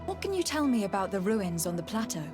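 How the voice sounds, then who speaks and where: A woman's voice: medium pitch, very animated, fast talker, over music, close to an everyday voice. An actress playing a courteous, inquisitive noblewoman envoy and adventurer in a video game, asking questions formally. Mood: inquiring. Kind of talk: scripted video game dialogue.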